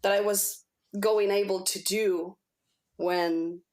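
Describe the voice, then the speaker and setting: A woman with Spanish as her first language speaks as a guest in a casual interview. She shares a personal testimonial in a medium-pitched voice. Her manner is earnest, and she often searches for words and repeats herself as she goes. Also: fairly even intonation, slow pace, a little mellow. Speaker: podcast guest.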